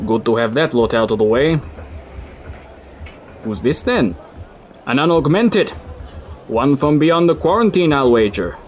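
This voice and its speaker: A man's voice: medium pitch, lively intonation, measured pace, pauses often, a little hiss or hum, close to an everyday voice. An actor in his thirties playing a friendly, folksy local man, who reassures a stranger. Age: 30s. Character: friendly male city dweller, folksy and helpful. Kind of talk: audio drama acting.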